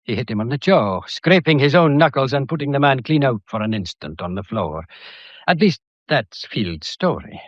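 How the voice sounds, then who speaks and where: A man acts out a methodical police inspector from a radio mystery. His pitch swoops widely as he lays out points with pedantic, wry courtesy and deliberate timing. The sound is dry and rather dull. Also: animated, no echo, natural voice. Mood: probing, satisfied. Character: methodical, theatrical, politely probing male police inspector. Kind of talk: detective radio drama acting.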